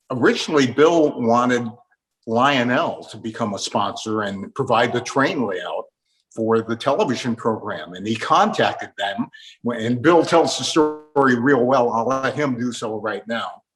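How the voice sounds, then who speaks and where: A man's voice: mid-range voice, measured pace, a bit bright, low-quality recording. An American man hosting a talk program, conversationally presenting facts and leading into stories. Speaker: program host and interviewer.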